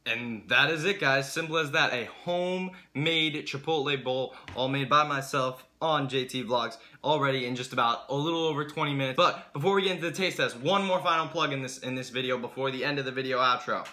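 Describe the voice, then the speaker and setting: A man's voice: mid-range voice, fairly quick, hardly any pauses, bright, forceful tone. A young man talking casually and enthusiastically to his viewers as a vlogger, recorded in a home kitchen on a camera at about arm's length. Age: young adult. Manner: enthusiastic, earnest. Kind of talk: casual vlog talk to viewers.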